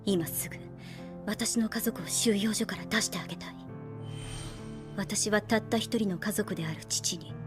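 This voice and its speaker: Anime role: young woman soldier, played by an actress, mid-pitched, calm, calculating, persuasive, gently teasing, scored with background music. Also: close to an everyday voice. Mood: quiet, earnest. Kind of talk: anime drama acting.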